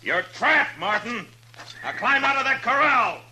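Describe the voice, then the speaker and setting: A man's voice: extremely high pitch, sing-song, a little slow, exaggerated voice. A man playing a commanding Old West marshal in a radio western, calling out terse orders. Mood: commanding, urgent. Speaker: radio drama actor. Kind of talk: 1950s radio western acting. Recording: noisy, echoing old radio recording with clicks.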